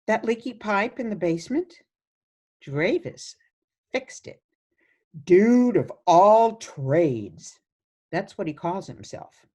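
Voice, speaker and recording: A woman's voice: mid-range voice, a little mellow, husky, exaggerated voice. A woman playing a sweet, doting elderly grandmother in a radio play, with a gushing, soothing manner.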